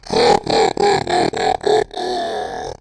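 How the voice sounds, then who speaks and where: A man voicing a sinister, gloating ghostly animatronic for a video game, gravelly and ominous. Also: a bass voice, monotone, exaggerated voice, poor audio. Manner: slow, ominous. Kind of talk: video game character voice lines. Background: electronic music.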